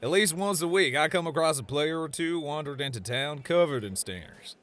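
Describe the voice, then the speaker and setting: An actor voicing a cocky, easygoing fantasy gunslinger with a Western American accent, drawling and teasing in slang. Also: male voice, fairly high pitch, animated, moderate pace, a bit bright. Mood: wry, casual.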